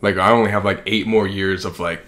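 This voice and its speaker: Low-pitched young American man, chatting casually. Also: fairly even intonation, brisk, fairly bright tone. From Kansas, United States. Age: early 20s. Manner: rambling, informal, heavy use of "like". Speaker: podcast co-host. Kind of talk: casual reflective chat.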